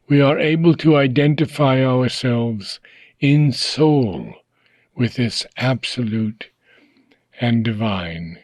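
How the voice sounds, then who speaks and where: A man reading a philosophical text aloud in slow, deliberate phrases, often trailing off softly at the ends of lines. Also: mid-range voice, no echo. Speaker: reader.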